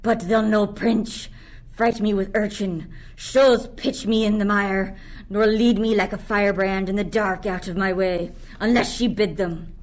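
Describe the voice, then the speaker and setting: A woman's voice: medium pitch, steady tone, a little slow, lightly acted. A woman playing a resentful, fearful monster servant, declaiming Shakespearean verse in a radio play. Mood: bitter cursing. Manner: declamatory archaic verse delivery.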